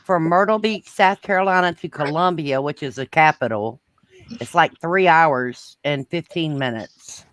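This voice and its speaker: A woman's voice: deep voice, measured pace, fairly bright tone. Country woman with a Southern drawl. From Georgia, US. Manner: plainspoken country talk with swearing. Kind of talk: casual chat.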